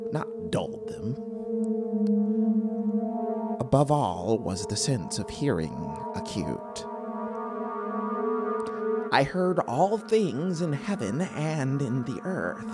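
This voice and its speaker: A woman voicing a deranged, gleefully cunning killer who insists on being sane, in a theatrical, halting horror audiobook reading that slips into whispers. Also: extremely low pitch, full character voice. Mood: brooding, defensive. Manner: theatrical, halting, lapsing into whispers and giddy bursts. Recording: synthesizer music under the voice. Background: horror synth soundtrack.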